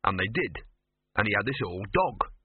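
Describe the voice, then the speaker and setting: A man's voice: lowish voice, sing-song, normal speed, breathy edge. A man voicing a cynical, streetwise talking dog in a storybook, grumbling and colloquial. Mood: matter-of-fact. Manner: grumbling, colloquial, world-weary. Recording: muffled radio-like narrow band, roomy.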